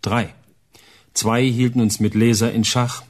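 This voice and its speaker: A man playing a shaken young child's father in a radio play, giving short, plain statements in a husky voice. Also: mid-range voice, little pitch movement, measured pace, a little mellow.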